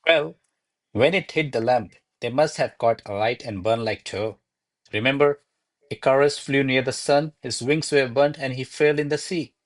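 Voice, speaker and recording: A man's voice: mid-range voice, moderate pace, no echo, close to an everyday voice. A man playing a deferential, flustered servant to a noble lady in a radio play, speaking formally and placatingly. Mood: earnest, excuse-making. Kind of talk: radio play acting of a classic comedy.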